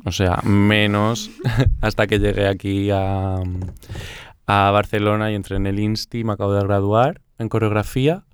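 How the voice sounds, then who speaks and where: A man's voice: on the low side, little pitch movement, normal speed, a little hiss or hum. A young man from Murcia, a choreographer chatting casually as a guest and laughing often. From Murcia, Spain. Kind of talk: casual interview talk.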